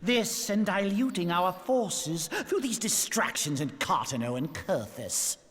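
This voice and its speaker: Haughty swooping lord, echoing hall. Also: male voice, high-pitched, very animated, a little slow, a bit bright, exaggerated voice. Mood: cold accusation. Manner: pompous, sneering, archaic formal speech. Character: haughty, scheming lord, cold and accusatory. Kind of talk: theatrical fantasy video game acting. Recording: long hall reverb.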